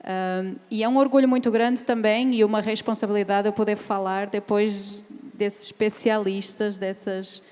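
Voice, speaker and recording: A woman from Portugal, speaking on a seminar panel and reading a passage aloud in a measured, careful way. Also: mid-range voice, steady tone, a little mellow, clear, resonant tone. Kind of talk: seminar talk with a read passage. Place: large event hall. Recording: reverberant hall.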